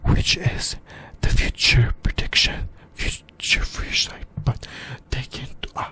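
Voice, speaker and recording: Male ASMR host, fully whispered and breathy, informal fan-to-fan commentary delivered directly to the listener. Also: a little slow. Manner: informal, addresses viewers directly.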